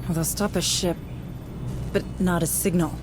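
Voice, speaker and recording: An actress playing an earnest, persistent woman deputy administrator of a space station, speaking with urgency over loud game music. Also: lowish voice, steady tone, normal speed, natural voice. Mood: urgent.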